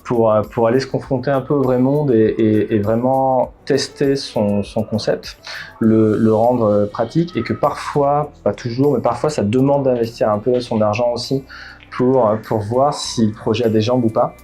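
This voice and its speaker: A middle-aged founder from Bordeaux talks in an interview at a mid pitch, with a warm, mellow tone. He hesitates often, restarting his phrases with plenty of fillers. Faint music plays behind him. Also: fairly even intonation, moderate pace. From Bordeaux, France. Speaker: startup founder. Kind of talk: interview answers.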